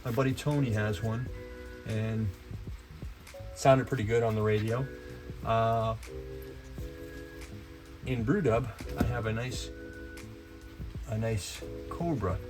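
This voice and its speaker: A man's voice: fairly low pitch, fairly even intonation, unhurried, pauses often, poor audio. A man hosting a DIY vlog, chatting informally and rambling a little as he works hands-on. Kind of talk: casual hands-on vlog chat. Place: in and around a camper van. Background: music.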